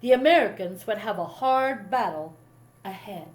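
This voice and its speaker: A woman's voice: medium pitch, expressive, moderate pace. A woman reading a children's story aloud like a teacher, with dramatic emphasis on key moments. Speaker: teacher reading a picture book to a class. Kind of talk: expressive read-aloud storytelling.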